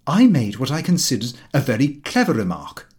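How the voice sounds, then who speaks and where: A man's voice: mid-range voice, huge pitch swings, brisk, darkish tone. A British man reading a comic diary as an audiobook narrator, in character with a fussy, indignant delivery.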